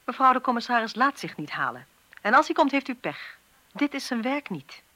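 An actress playing a sharp-tongued, impatient police inspector in a Dutch radio drama, sarcastic and brusque, with a Netherlands accent. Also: female voice, a little high, very animated, brisk, noisy background. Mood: brisk, curt.

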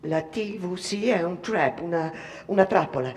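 A woman's voice: fairly low pitch, expressive, moderate pace, a little mellow, lightly acted. An actress playing a fretful, disapproving immigrant seamstress, telling her story in a conversational theatrical monologue. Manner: conversational, repeating words, mimicking others. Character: Italian immigrant factory seamstress, disapproving, fretful mother's confidante. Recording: long reverberant hall.

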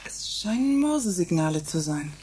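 A woman playing a sharp-tongued, sceptical middle-aged retiree in a science fiction radio play, tart and dismissive. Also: fairly low pitch, very animated, moderate pace, a little hiss or hum. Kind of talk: German radio play acting. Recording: music playing behind.

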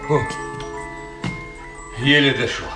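A man's voice: mid-range voice, lively intonation, takes it slowly, music in the background. A man acting a shrewd, sardonic society doctor in a radio drama, with a sly, teasing, drily amused manner. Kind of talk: theatrical radio drama dialogue.

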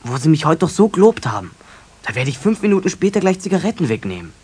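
An actor playing a polite, eager young apprentice with a Bavarian accent in a children's radio play. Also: male voice, a little high, big pitch swings, fast talker, a little mellow, husky. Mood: indignant. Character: eager young male apprentice, polite, indignant when suspected.

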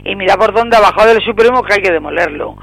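A woman with an accent from Spain and a gravelly voice, talking spontaneously as an activist guest on radio. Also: mid-range voice, fast, no room sound, low-quality recording. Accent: Spanish, from Spain. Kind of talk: spontaneous radio interview talk.

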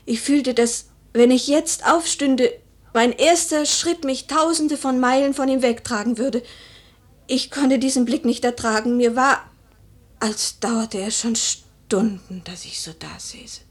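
Proud young noble widow in mourning: higher-pitched, breathy, solemn, classic radio drama. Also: female voice, a little slow. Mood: anguished. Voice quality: breathy, often dropping to a whisper. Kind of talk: German literary radio drama acting.

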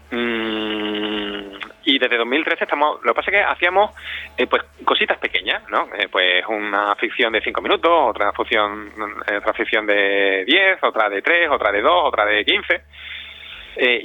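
A man's voice: mid-range voice, no echo, highs cut off. A man answers as an interview guest, searching for words and repeating himself as he explains. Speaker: interview guest, audio fiction director.

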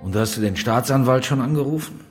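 An actor playing a muted, slightly absent police inspector in a radio crime drama, terse and hesitant. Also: male voice, fast, husky, faint music behind, close to an everyday voice. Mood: groggy, businesslike. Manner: terse, hesitant, low-key.

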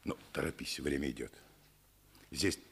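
Deep, husky Soviet commissar. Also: male voice, exaggerated voice. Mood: resolute. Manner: resolute, deliberate, persuasive. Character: steadfast, principled Soviet political commissar at war. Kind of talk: 1950s Soviet radio stage drama acting.

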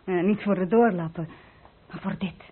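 An actress playing an earnest, respectful young painting pupil in an old radio play. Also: female voice, on the low side, normal speed, slightly rough. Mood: admiring. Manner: respectful, wondering. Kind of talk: old radio drama acting. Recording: tinny, noisy old radio play recording.

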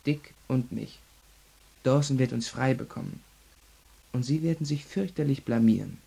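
An actor in a German radio crime drama playing an arrogant, self-assured young law student in custody, husky, clipped and defiant. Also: male voice, mid-range voice, moderate pace, dark tone. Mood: smug, boastful. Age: young adult.

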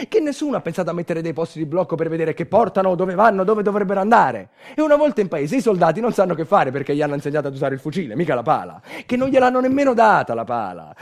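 A man's voice: high-pitched, darkish tone. A man with a southern Italian accent performing a theatrical narrative monologue, colloquial, emphatic and urgent. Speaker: solo stage actor narrating a monologue. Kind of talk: dramatic theatrical storytelling, radio monologue.

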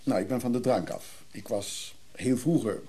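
A man playing a gruff, blunt former drinker who knows antique clocks, in a naturalistic radio drama. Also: medium pitch, normal speed, mellow, slight background noise, natural voice. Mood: gruff, confessing. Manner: grumpy, blunt.

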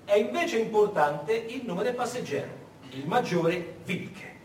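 A male stage narrator with a high voice and lively, swooping intonation. He tells the story emphatically and conversationally, throwing out rhetorical questions, a little far from the mic in an echoey space. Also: a little slow. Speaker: actor-narrator. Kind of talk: theatrical storytelling monologue to an audience. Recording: echoey hall, distant mic, rumbling noise.

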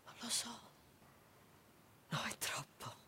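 A woman's voice: slow, sharp tone, whispered. An actress playing a hard-up, proud mother humouring her son in a radio play, with a colloquial Neapolitan accent and emphatic repetition. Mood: hushed, doubtful. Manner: colloquial, repeating words for emphasis. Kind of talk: radio theatre acting.

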